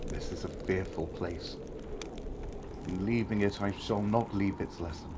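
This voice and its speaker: Frightened old miser. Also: male voice, sits low, measured pace, noisy background. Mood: pleading, fearful. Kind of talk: audio drama acting.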